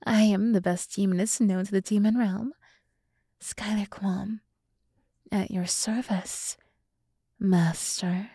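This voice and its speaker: A woman voicing a flattering, smug demon woman devoted to her summoner in an ASMR roleplay, soft and breathy, often dropping to a whisper. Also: medium pitch, takes it slowly, pauses often, no room sound, clean studio sound. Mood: boastful, devoted. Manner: seductive, boastful, theatrical.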